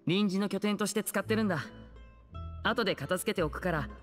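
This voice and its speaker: A courteous young gentleman chats in an easygoing, friendly way. It is game dialogue, with music clearly playing behind his voice. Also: high-pitched, a little fast. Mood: friendly, polite. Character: courteous, smooth-talking young man, a magician and eldest brother. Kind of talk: Japanese game voice acting.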